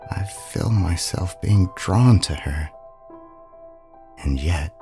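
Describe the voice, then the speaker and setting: An actor playing a haunted young man who narrates a first-person audio drama in a hushed, intimate way. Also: deep voice, heavily acted. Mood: uneasy, hushed. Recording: music under the voice.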